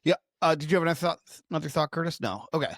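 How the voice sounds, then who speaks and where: A man's voice: on the high side, racing, vocal fry, fairly bright tone, no room sound, studio quality. A man co-hosting a podcast, talking casually and informally in an anecdotal way. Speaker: podcast co-host.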